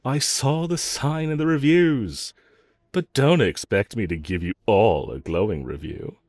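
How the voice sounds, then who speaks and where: A man's voice: lowish voice, unhurried, a little mellow, no echo, studio quality, natural voice. A man playing a cocky, flirtatious, unrepentant villain in an audio roleplay, teasing and mocking the listener with sarcasm. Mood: sarcastic, dismissive. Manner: teasing, sarcastic drawl with chuckles.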